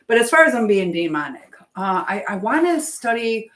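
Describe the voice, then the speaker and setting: A woman's voice: mid-range voice, sing-song, moderate pace, a bit bright. A woman hosting a casual live chat, rambling and trailing off as she answers viewers while busy with something else. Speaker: livestream host.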